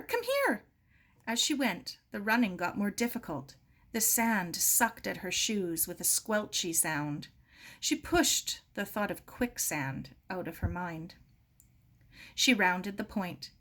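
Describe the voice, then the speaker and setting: A woman reading a children's novel aloud in an expressive narrating style. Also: medium pitch. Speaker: story reader.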